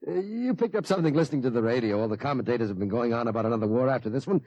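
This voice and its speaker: An actor playing a worried, bewildered middle-aged father in a 1950s radio drama, halting and incredulous. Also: mid-range voice, animated, dark tone, dull audio. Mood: dismissive, incredulous. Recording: vintage 1950s radio broadcast recording.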